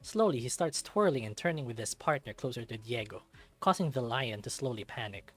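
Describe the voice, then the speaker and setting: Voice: medium pitch, lively intonation, fairly quick, fairly bright tone. A narrator reading a visual novel aloud in a dramatic storytelling style, with music behind. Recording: background music under the voice. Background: game music.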